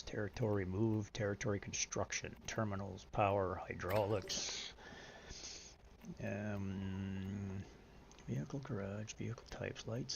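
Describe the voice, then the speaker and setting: Deep-voiced middle-aged man, dull lo-fi mic. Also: creaky, slight background noise. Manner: rambling, explains patiently, reads menu items aloud. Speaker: live streamer. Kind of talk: casual gaming stream chat.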